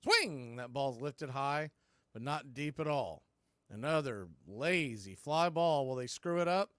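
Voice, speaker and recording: A man's voice: medium pitch, unhurried, bright, forceful tone, no room sound, clean studio sound. A man giving live play-by-play commentary on a baseball game as the radio announcer.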